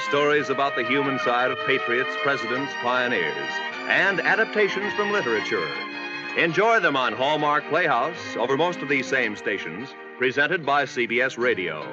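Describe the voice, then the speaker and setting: An American man reading scripted 1950s radio announcements in a formal, emphatic way. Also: medium pitch. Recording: dull vintage radio broadcast.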